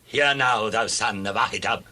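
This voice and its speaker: A man playing an angry, suspicious, commanding king in dramatized scripture audio, with a forceful, accusing, declamatory delivery. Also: lowish voice, normal speed.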